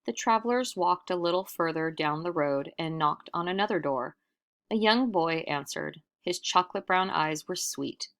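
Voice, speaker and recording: Low, creaky woman storyteller. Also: normal speed, fairly bright tone. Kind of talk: expressive children's read-aloud narration.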